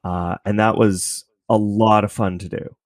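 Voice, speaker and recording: A man's voice: fairly low pitch, steady tone, a little slow, no echo, studio quality. A man talking casually as a podcast guest, explaining things in a rambling, self-correcting way. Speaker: podcast creator, interview guest. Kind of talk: casual interview chat.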